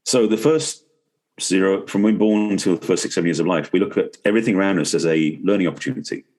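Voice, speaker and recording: A middle-aged man talks as an expert guest on a podcast. His voice is very low and noticeably husky, and he speaks fast. He explains in a conversational way, rephrasing as he goes. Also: darkish tone.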